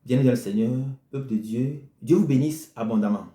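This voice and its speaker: A man's voice: mid-range voice, mellow. A man delivering a sermon, emphatic and repetitive in his phrasing. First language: Ewe. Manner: slow, emphatic, repeating phrases. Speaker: preacher. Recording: echoey room.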